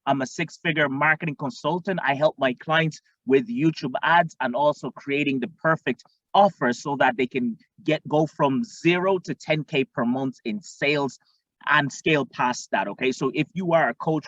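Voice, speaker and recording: A man from Tanzania, a marketing coach, teaching in an energetic way and often checking in with the listener. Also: mid-range voice, moderate pace, dry recording. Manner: energetic, repeats "okay?" often. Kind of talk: instructional screen-share talk. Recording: dull lo-fi dry sound.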